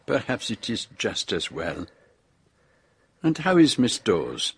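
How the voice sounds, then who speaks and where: An actor playing a shrewd, formal foreign detective with a French-speaking European accent in a radio drama. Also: male voice, fairly low pitch, sing-song, slow pace, breathy edge, full character voice. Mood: grave, courteous. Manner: formal, precise, courteous. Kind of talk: radio drama acting, first-person narration.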